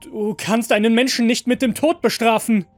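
A man playing an agitated, frustrated master of androids in an audio story, forceful and pleading. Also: very high voice, fairly even intonation, moderate pace, no echo, soft background music, voice pushed up.

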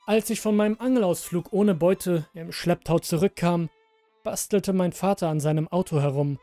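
A man's voice: fairly high pitch, warm tone, no echo. A man narrating a scripted first-person horror story for a podcast.

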